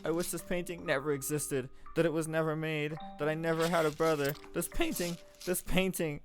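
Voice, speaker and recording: A man's voice: medium pitch, moderate pace, soft background music, lightly acted. A man voicing an anxious fourteen-year-old noble girl who talks in frantic, pleading questions. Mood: bitter.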